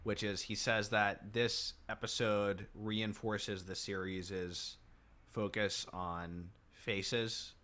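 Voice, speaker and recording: A man hosting a podcast film discussion, asking measured, thoughtful questions. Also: fairly low pitch, level tone, bright, forceful tone.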